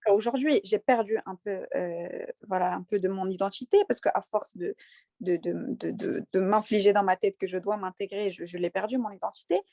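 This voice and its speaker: A young woman with a light Moroccan accent speaks earnestly, stumbling over repeated words as she answers. Her voice sits in a middle pitch. The recording is close and dry, with no room sound. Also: steady tone, warm tone, no room sound. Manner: earnest, halting with many fillers and repeated words. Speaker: interviewee. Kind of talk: conversational interview.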